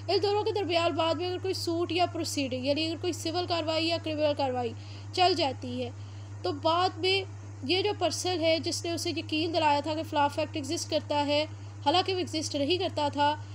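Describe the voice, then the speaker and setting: A woman with a Pakistani Urdu accent giving an explanatory law lecture, reading English statute text slowly word by word with frequent "uh" fillers. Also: high-pitched, slight background noise.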